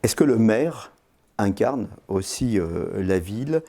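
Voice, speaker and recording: A male interviewer puts short, direct questions to his guest. His voice is gravelly and husky, with a dark tone. He sounds a little distant from the microphone. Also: lowish voice, animated, takes it slowly.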